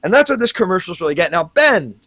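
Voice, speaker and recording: A man plays a self-important CEO in an improvised comedy bit, rambling and swearing. His fairly high voice swings up and down in a very dry, close recording. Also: very animated, very fast, pushed and bright, no echo, full character voice. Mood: salesmanlike. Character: chatty, blustering male CEO casting a commercial. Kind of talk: improvised comedy show banter.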